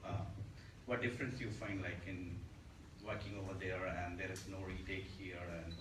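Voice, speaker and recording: Man, deep voice, press questioner, echoey hall. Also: steady tone, normal speed. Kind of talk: spontaneous press conference question. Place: arts center hall at press conference. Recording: echoey room, distant mic, clear noise.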